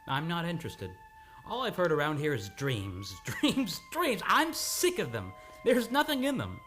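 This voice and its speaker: A man playing a troubled, agitated amnesiac in an old-time radio drama, speaking haltingly and repeating his words. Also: a little high, huge pitch swings, a bit bright, heavily acted. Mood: agitated, fed up. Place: radio studio, live radio play. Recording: dramatic music under the voice.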